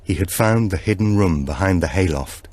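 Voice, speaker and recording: Low-pitched man narrating a thriller. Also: expressive, normal speed, a little mellow, slightly rough. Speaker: audiobook narrator. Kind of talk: scripted narration of a suspense thriller.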